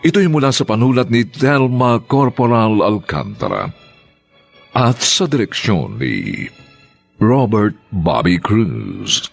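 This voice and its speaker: Slow, gravelly, swooping male radio announcer. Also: fairly low pitch, huge pitch swings. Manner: theatrical, drawn-out. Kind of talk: dramatic scripted announcing and cast credits. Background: music.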